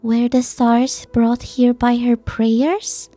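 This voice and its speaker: Gentle young girl storyteller for a game fan dub, played by a woman, high yet very mellow, hushed and solemn. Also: level tone, takes it slowly, no echo, faint music behind. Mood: solemn, wistful.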